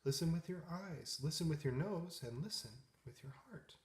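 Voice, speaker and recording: A man voicing a calm, wise moon who speaks low, soft and measured. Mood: gentle, wise. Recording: echoey room.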